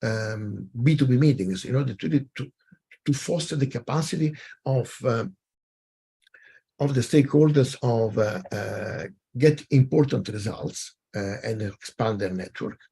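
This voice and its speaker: A man's voice: mid-range voice, unhurried, gravelly, mellow, husky. A man moderating a webinar, presenting with many fillers and restarts over a video call.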